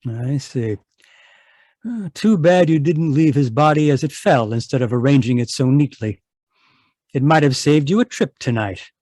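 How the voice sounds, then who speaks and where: An actor playing a shrewd, composed investigator, speaking in a measured, dryly ironic way in radio mystery style. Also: male voice, medium pitch, lively intonation, dark tone, dry recording, studio quality, natural voice. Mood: cool, deductive. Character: shrewd, composed male investigator questioning a suspect. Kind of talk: old-time radio mystery acting.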